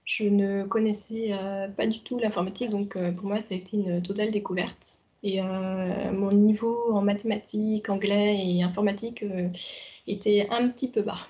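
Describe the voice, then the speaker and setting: A woman in her twenties giving a hesitant testimonial about her training, with frequent pauses and fillers. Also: mid-range voice, fairly even intonation, dark tone, lo-fi sound. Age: 20s. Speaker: former trainee, now AI developer. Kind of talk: testimonial interview.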